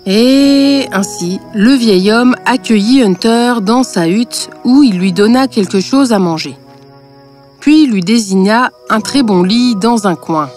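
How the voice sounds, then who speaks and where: A woman's voice: fairly high pitch, a little slow, a little mellow. Woman narrating a fairy tale over music.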